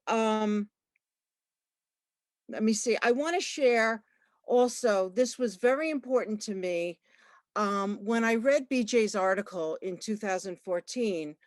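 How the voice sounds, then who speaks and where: A woman librarian giving a talk at an online book launch, halting, with many fillers and restarts. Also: mid-range voice, no echo. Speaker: librarian and researcher. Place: online video call.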